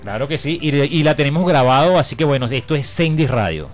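A man with a Venezuelan accent hosting casual live radio, talking informally and haltingly with repeated words. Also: medium pitch, lively intonation, faint music behind. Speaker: radio host.

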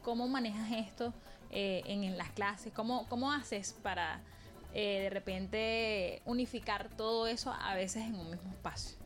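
A woman's voice: mid-range voice, measured pace, faint music behind. A woman interviewing a guest, asking long hesitant questions. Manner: hesitant, many fillers and restarts.

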